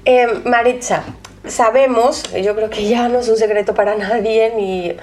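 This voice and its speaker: A woman hosting a radio interview in a studio, energetic, laughing often, and talking in quick bursts. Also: on the high side, a little hiss or hum. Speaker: radio host. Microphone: studio microphone.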